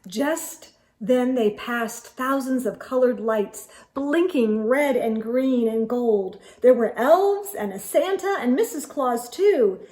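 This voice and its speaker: A woman storyteller reading a children's book aloud expressively, with deliberate phrasing and dramatic emphasis. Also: fairly high pitch, darkish tone.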